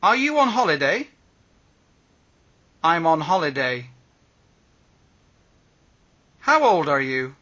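A man reads travel phrases aloud, one at a time, at a very slow, deliberate pace. His voice is high and very bright, with a lively, rising and falling delivery. A faint huskiness sits under the tone. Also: dull audio. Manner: reads each phrase slowly with pauses. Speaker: language teacher.

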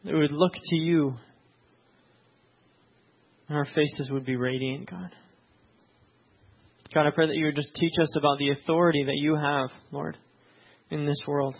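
A man with a notably high voice leads his church, first in a hushed prayer and then in a relaxed, conversational sermon full of quick check-ins with his listeners. He grew up in Tanzania. The recording sounds slightly muffled, with the top end rolled off. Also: vocal fry, breathy edge. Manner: conversational preaching with frequent "right?" check-ins. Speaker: pastor.

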